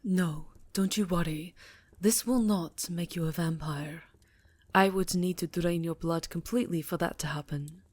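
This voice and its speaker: A woman voicing a possessive vampire countess in an ASMR roleplay, speaking in a deliberate, breathy half-whisper, seductive and menacing. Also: lowish voice, darkish tone. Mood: soothing, possessive.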